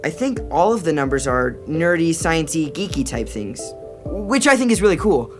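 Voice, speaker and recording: A man's voice: fairly high pitch, very animated, normal speed, over music. A male performer playing a good-natured, nerdy young brother with a casual, boyish manner in an audio drama. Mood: eager.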